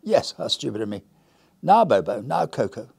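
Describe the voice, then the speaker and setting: A man voicing a large, fussy older lady with a husky little voice who speaks faintly and hesitantly. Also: medium pitch, big pitch swings, normal speed, darkish tone, heavily acted. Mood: fretful.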